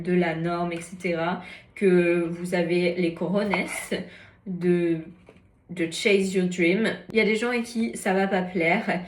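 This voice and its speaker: A woman's voice: medium pitch, reverberant, slight background noise. A woman in her early thirties, chatting casually and upbeat as a vlogger, partly while cooking in her kitchen. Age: early 30s. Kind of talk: casual vlog talk to camera. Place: home kitchen.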